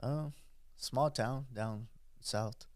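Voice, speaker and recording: A man's voice: mid-range voice, very slow, dry recording, clean studio sound. A man in his forties from South Texas, a veteran guest answering in an interview, plainspoken and hesitant. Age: 40s. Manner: plainspoken, hesitant, many fillers. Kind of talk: casual interview.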